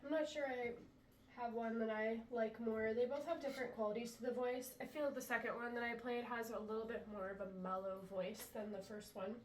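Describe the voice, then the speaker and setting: Woman flute maker, stuffy from a cold, relaxed product demo, recorded a bit off-mic in an echoey room. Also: fairly high pitch, fairly quick, recorded from a distance, slight background noise. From US Pacific Northwest. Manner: chatty, relaxed, many ums. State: congested after a chest cold. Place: indoor room, talking to camera.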